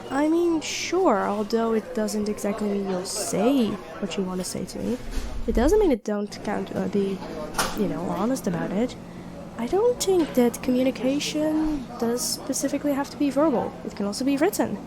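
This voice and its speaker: Clear cafe chatter surrounds a highish young female voice played by a woman. She is a sweet, supportive best friend hiding a crush. She speaks in gentle, earnest, conversational roleplay style, with soft hesitations. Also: expressive, moderate pace, low-quality recording. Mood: reassuring. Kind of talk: intimate roleplay audio acting, one-sided dialogue. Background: coffee shop crowd ambience.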